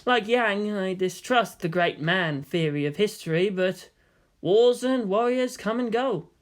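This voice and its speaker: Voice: mid-range voice, measured pace, voice pushed up, lightly acted. A narrator voicing an exasperated, sarcastic teenage boy hero in a fantasy story. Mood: reflective.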